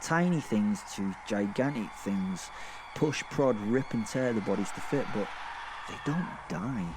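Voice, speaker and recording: A man playing a gleefully morbid werewolf killer in a horror audio drama, telling of his fall through hell in a halting, sweary, conversational way. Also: lowish voice, animated, noisy background. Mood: morbid fascination. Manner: rambling, conversational, sweary, halting and self-correcting. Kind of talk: first-person horror audio drama monologue.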